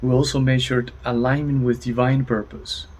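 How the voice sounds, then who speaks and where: A man's voice: mid-range voice, flat delivery, normal speed, darkish tone. A man co-presenting, reporting readings in brief, matter-of-fact statements. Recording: background ambient noise.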